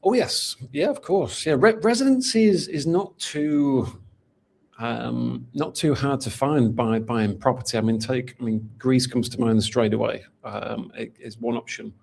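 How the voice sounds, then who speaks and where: A man's voice: medium pitch, unhurried, creaky, darkish tone. A man from England with a Manchester accent, answering interview questions in a chatty way with lots of fillers and restarts. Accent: Mancunian English. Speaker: golden visa specialist.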